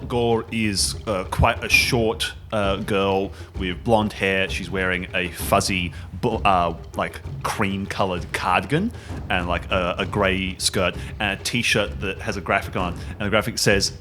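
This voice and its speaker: A man improvising tabletop game narration as game master, casual and halting. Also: low-pitched, fairly bright tone, a little hiss or hum.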